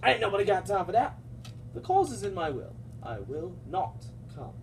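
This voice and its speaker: A man's voice: sits high, very animated, normal speed, vocal fry, voice pushed up, full character voice. A man voicing a pompous, boastful Roman ruler in a comic Shakespeare puppet show, grandiose and sassy. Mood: indignant, defiant. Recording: distant microphone, loud background noise.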